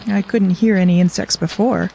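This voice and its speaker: A woman's voice: mid-range voice, steady tone, normal speed, vocal fry, mellow, a little hiss or hum, lightly acted, low-quality recording. A woman playing a guarded, dry-witted companion to a time traveller in an audio drama, reserved and understated. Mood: uneasy.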